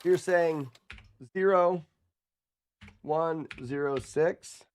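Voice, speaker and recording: A man's voice: on the high side, extremely slow, darkish tone, clear, resonant tone. A man live streaming a game in a casual way, chatting to viewers and thinking aloud as he goes. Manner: talks to chat, thinking aloud, muttering.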